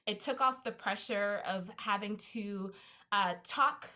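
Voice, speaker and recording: Bright, laughing woman panelist. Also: mid-range voice, a little slow. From United States. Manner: warm, self-deprecating, laughs easily. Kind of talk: casual panel discussion.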